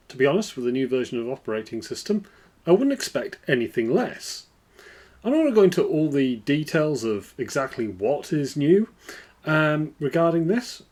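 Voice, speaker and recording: Male tech host, relaxed explainer, lively pitch, mid-range voice. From United Kingdom. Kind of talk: screen-recorded software walkthrough.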